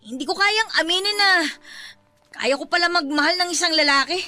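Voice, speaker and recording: A woman's voice: very high voice, fairly quick, sharp tone. A woman playing a tough-talking tomboy young mother, blunt and emotional, reluctantly in love, in a Filipino radio-style romance drama. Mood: tearful confession. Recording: music under the dialogue.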